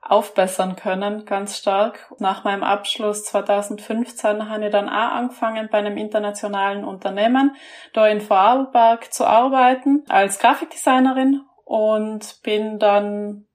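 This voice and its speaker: A woman in her thirties from South Tyrol with a South Tyrolean German accent, calmly walking through her career as an interview guest. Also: medium pitch. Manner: measured, explains her career step by step. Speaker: creative art director, interview guest. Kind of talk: casual interview talk.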